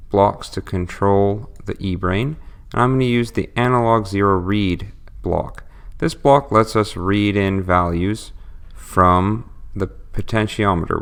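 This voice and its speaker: A man with a low, gravelly voice walks through an electronics build step by step. He explains patiently and pauses to think aloud as he works. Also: fairly bright tone. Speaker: electronics instructor. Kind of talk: step-by-step tutorial.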